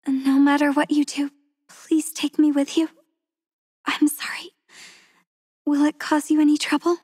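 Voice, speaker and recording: A woman voicing a gentle, devoted young woman soldier in a game, speaking softly and tenderly with frequent breathy whispers, recorded on a close studio mic. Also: high voice, level tone, measured pace, dry recording. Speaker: voice actress for a game character. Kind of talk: game character voice lines.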